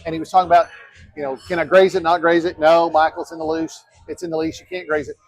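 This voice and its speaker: Man, fifties, high-pitched, husky voice, earnest and rambling. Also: level tone, quick pace, no echo, low-quality recording. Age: 50s. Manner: earnest, rambling with frequent restarts and quoted asides. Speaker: mayoral candidate. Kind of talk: casual interview chat.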